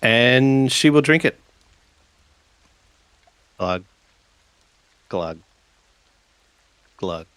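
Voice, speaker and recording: A man playing in a tabletop roleplaying game, halting and measured as he speaks for his character and narrates its actions. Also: mid-range voice, lively intonation, fairly bright tone, clear, resonant tone, no echo. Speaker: player in a tabletop roleplaying podcast.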